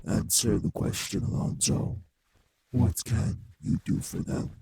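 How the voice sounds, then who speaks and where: A man playing a cruel, taunting male vampire in an audio drama, with a husky, gravelly voice and a slow, mockingly polite manner. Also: lowish voice, steady tone, mellow, no room sound, full character voice. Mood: cold mockery. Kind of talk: scripted audio roleplay drama.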